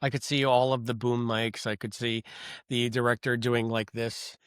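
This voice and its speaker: A man co-hosting a podcast, recorded close and dry with no room sound. His voice sits at an ordinary middle pitch. He talks in a rambling, thoughtful way, circling back with ums and restarts. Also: level tone, moderate pace, a bit bright, smooth, no room sound. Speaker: podcast co-host. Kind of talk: casual review chat.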